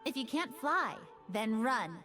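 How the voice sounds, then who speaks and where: A woman's voice: a little high, big pitch swings, takes it slowly, pushed and bright, soft background music, exaggerated voice. An actress voicing a playful, confident female fox spirit pop star in video game voice lines, with a poised, teasing delivery. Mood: bright, determined.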